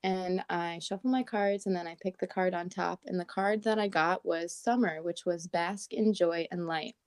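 A woman with a raspy morning voice chatting casually as a podcast co-host, talking with lots of "like" and "um". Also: fairly low pitch, steady tone, moderate pace, no room sound, clean studio sound. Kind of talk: casual chat. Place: at home in Portland.

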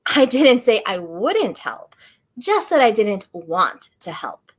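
A woman's voice: medium pitch, sing-song, slow pace. A woman reading aloud as a dry-witted young woman who teases. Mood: teasing. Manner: dry, teasing.

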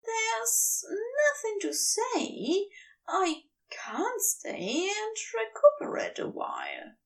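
Woman voicing a high, tender, anxious male angel. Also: wildly swooping pitch, drawn-out words, vocal fry, far from the mic, exaggerated voice. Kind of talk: fan fiction audiobook character voice.

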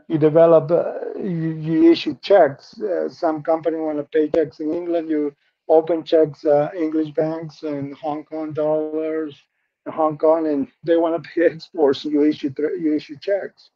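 A middle-aged man from Peru with a Peruvian Spanish accent talks through his life story in English, his second language. His voice sits slightly high, and he speaks earnestly with frequent restarts. The audio is muffled and lo-fi. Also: flat delivery, measured pace. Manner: earnest, repeats words and restarts often. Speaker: guest. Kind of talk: personal life story interview.

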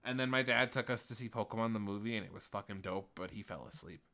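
Bright-voiced man in his twenties. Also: on the low side, fast. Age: 20s. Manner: rambling, animated, self-interrupting. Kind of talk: casual chat.

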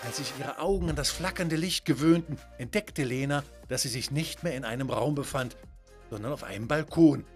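A man's voice: mid-range voice, moderate pace, faint music behind. A man narrating a scripted children's story in a dramatic, wonder-filled way.

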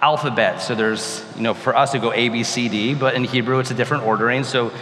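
Pastor, man, hoarse from lost voice, loose conversational sermon, roomy hall reverb. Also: mid-range voice, fairly even intonation, fast talker, a bit bright. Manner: conversational, with restarts. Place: hot church sanctuary. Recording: roomy hall with faint noise.

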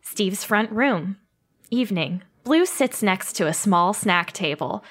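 A woman's voice: medium pitch, expressive, takes it slowly. A woman co-hosting a comedy podcast, chatting casually and reading stage directions. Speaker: podcast co-host and narrator. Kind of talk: casual podcast chat and script narration.

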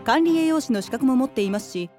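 A prim, coolly polite woman in her twenties , played by an actress in a radio drama, with a mellow, somewhat high voice. She keeps every line businesslike and composed. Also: lively intonation, fast talker, faint music behind. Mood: formal. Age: 20s. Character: prim, formal 27-year-old woman rival.

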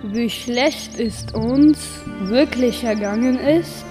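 A female performer playing a wronged, solemn donkey in a children's radio play, speaking deliberately. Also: medium pitch, close to an everyday voice. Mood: solemn, aggrieved. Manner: slow, deliberate. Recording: music playing behind the voice.

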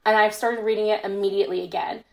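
A woman's voice: medium pitch, steady tone, fast, gravelly, a little mellow, low-quality recording. A woman being interviewed as an author in a casual podcast chat, talking with gushing, emphatic enthusiasm. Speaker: interviewed fan fiction author.

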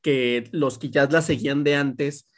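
A man with a medium-pitched voice explains a point in a relaxed film chat. He strings his thoughts together with trailing fillers. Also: a little slow. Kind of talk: casual film review chat.

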